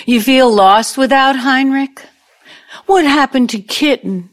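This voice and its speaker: A woman's voice: high voice, takes it slowly. A woman acting in an audio play as a brazen, needling older ex-girlfriend out for revenge, blunt and theatrical. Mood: teasing, prying.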